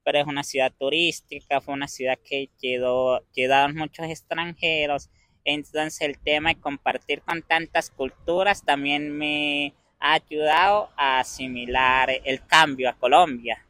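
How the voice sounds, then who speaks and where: Young venezuelan guy w/ andean accent, bright higher voice, dry close sound, talks slow and careful. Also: fairly even intonation, no echo. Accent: Venezuelan Andean Spanish. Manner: measured, pauses to find words. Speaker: interviewee. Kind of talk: conversational interview answers.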